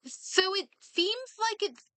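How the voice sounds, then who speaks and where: A woman doing casual game stream commentary, reading aloud and reacting in a halting, think-out-loud way. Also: very high-pitched, lively intonation, no echo.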